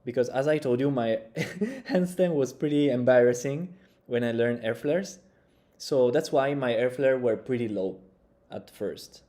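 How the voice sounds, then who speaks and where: Mellow-voiced young man musing. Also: mid-range voice, unhurried. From Italy. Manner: relaxed, thinking aloud with loose grammar. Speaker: breaking coach reacting to tutorials. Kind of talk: casual reaction commentary. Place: small room indoors.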